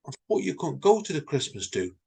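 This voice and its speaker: Man playing doubtful accounts manager in a spoken short story; incredulous, husky, varied pitch. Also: big pitch swings, fairly quick, dark tone, full character voice. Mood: shocked. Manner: incredulous, questioning.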